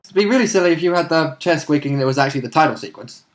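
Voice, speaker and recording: A man co-hosting as a player, casually recapping a tabletop game in a giggly, animated storytelling manner. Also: fairly high pitch, racing, soft background music. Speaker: player and co-host.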